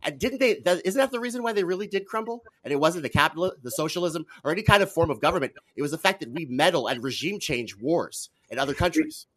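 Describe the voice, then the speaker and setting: Fast, animated, high-pitched argumentative man. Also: poor audio. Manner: argumentative, challenging with rhetorical questions. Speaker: political talk show guest. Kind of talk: heated political debate.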